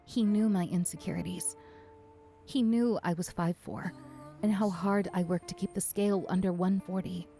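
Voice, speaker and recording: A woman's voice: mid-range voice, warm tone, natural voice. A woman playing a weary, self-conscious but defiant young woman in a first-person audio drama.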